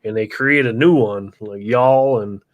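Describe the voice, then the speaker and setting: A man's voice: medium pitch, measured pace, muffled recording, slight background noise. A man from Wisconsin with a Wisconsin accent, hosting a casual livestream chat from his home studio, rambling and easygoing, laughing often. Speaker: livestream host.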